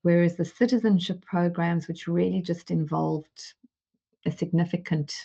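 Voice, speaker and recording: A woman's voice: fairly low pitch, steady tone, moderate pace, creaky, warm tone, breathy edge, muffled recording. A woman from South Africa speaking as an advisor in a webinar discussion, hesitant, with many fillers and repeated words. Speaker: managing director at an advisory firm.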